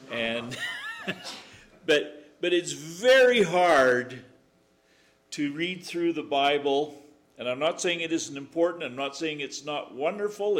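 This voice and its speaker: A man preaching a sermon to a congregation, reading scripture, praying, and joking in asides with a loud voice. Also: on the high side. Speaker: guest preacher. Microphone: microphone through speakers. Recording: echoey room.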